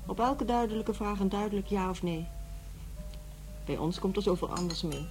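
A woman's voice: mid-range voice, moderate pace, darkish tone, soft background music, lightly acted. An actress playing a weary divorced mother in middle age in a radio play, matter-of-fact and reserved. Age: middle-aged. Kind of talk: radio play acting, naturalistic dialogue.

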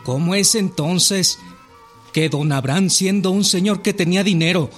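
An actor playing an earnest storyteller in a scripted historical radio drama. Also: male voice, high voice, moderate pace, soft background music, close to an everyday voice. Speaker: narrator.

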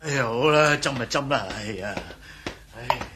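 A man's voice: medium pitch, normal speed, bright, forceful tone, a little hiss or hum, close to an everyday voice. A man playing a timid, superstitious old servant in a radio drama, stammering and repeating himself in Hong Kong Cantonese. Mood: nervous, reluctant.

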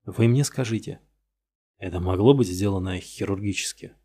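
A man voicing a weary male police detective in a storybook reading, interrogating bluntly in a low, husky voice. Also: normal speed, dark tone. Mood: puzzled.

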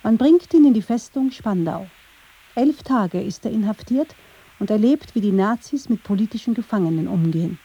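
Dark, husky female documentary narrator. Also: mid-range voice, expressive, moderate pace, highs cut off. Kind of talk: scripted radio feature narration.

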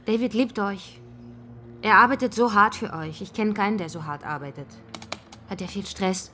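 An actress playing a middle-aged woman who narrates her story in first person in a radio drama, in a quiet, inward way. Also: medium pitch, moderate pace, a little hiss or hum, natural voice. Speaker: narrator and main character.

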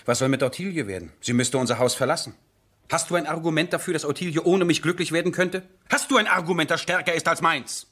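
An actor playing a passionate, headstrong nobleman in love, husky and theatrical, in a 1970s German radio drama. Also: fairly high pitch, fast. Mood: pleading, agitated. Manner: impassioned, theatrical, swinging from hushed to ringing.

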